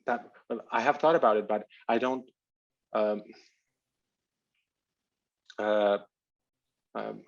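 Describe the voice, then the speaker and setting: A man from Finland, his Albanian, speaking as an author guest in a thoughtful, halting interview conversation over a video call. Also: fairly low pitch, steady tone, creaky, muffled recording. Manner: halting, reflective, searching for words.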